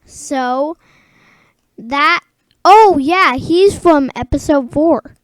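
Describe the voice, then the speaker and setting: High, slow-talking female commentator, halting. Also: dry recording, studio quality. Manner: halting, repeats phrases while thinking aloud. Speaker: show commentator. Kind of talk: casual reaction commentary.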